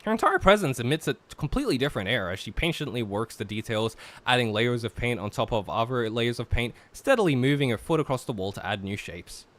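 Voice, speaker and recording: Animated, bright-voiced man hosting a game stream. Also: mid-range voice, fast. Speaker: streamer hosting a visual novel playthrough. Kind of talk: casual group banter with read-aloud narration.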